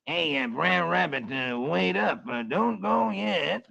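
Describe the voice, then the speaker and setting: A man's voice: a little high, animated, slow, creaky, pushed and bright, voice pushed up, exaggerated voice. Man doing a drawling, hesitant scheming buzzard. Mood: pleading. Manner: drawling, hesitant with uhs and ums. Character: scheming, dopey male buzzard. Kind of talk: 1940s radio comic-strip reading. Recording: dull, noisy vintage radio recording.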